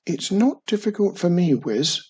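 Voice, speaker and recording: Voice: medium pitch, sing-song, unhurried, darkish tone, breathy edge, pitched above the performer's own voice, exaggerated voice. Self-important boy wizard from a storybook, done by a narrator, earnest, fussy, mischievous. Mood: confident.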